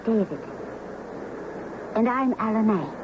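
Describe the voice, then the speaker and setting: An actress playing a gentle, mysterious young sea woman, guarded and simple in a 1970s radio drama. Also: on the high side, animated, slow. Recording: dull, noisy 1970s radio recording.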